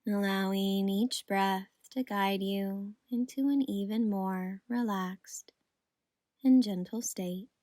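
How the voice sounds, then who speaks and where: A woman leads a guided meditation at a very slow, unhurried pace. Her delivery is gentle and soothing, with a middle-range pitch. The recording is close and dry, with no room sound. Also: fairly even intonation, no echo.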